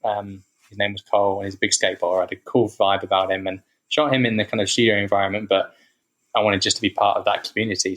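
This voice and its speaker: A man talking as a photographer guest in a podcast interview, relaxed and rambling, with lots of fillers. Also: lowish voice, low-quality recording. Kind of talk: casual interview chat.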